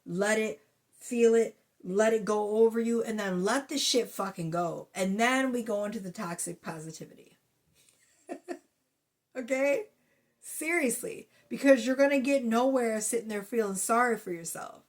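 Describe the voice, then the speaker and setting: A woman giving a casual tarot reading to her viewers, blunt and profane in her chatty way, talking through a sore, slightly hoarse throat. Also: on the high side, normal speed. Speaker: tarot reader.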